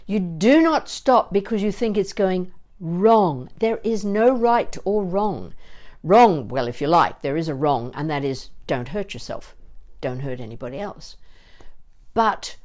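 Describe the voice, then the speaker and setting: Woman, voice coach, slightly low pitch, instructional, encouraging, playful, informal. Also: expressive, measured pace, darkish tone. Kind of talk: instructional vocal warm-up lesson with demonstrations.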